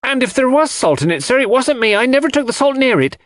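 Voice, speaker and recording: Man voicing a flustered, high-pitched young housemaid. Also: very animated, fast, fairly bright tone, no echo, heavily acted. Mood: flustered, defensive. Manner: deferential, eager, flustered. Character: young strapping housemaid, excited, flustered, deferential servant.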